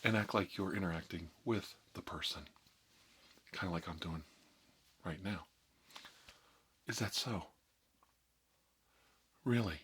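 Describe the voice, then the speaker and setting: Low-pitched deadpan man giving mock ASMR tutorial. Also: slight background noise. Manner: slow, deliberate, deadpan mock-doctor delivery. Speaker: ASMR video host.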